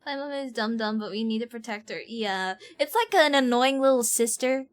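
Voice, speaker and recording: A woman's voice: on the high side, normal speed. A woman from Ireland with a neutral, North American-sounding accent, chatting casually and slangily on a rambling, giggly game stream. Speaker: live streamer. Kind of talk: casual gaming stream chat.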